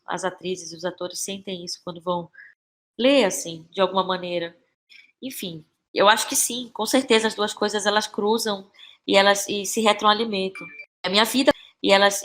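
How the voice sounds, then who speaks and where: A woman's voice: mid-range voice, fairly bright tone. Brazilian woman, informal and searching for words. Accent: Brazilian Portuguese. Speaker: interview guest, playwright and actress. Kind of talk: casual reflective podcast interview.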